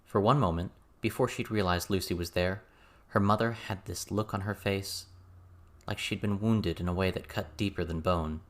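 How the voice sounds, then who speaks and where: A man's voice: deep voice, steady tone, moderate pace. A man reading a scripted story as an audiobook narrator.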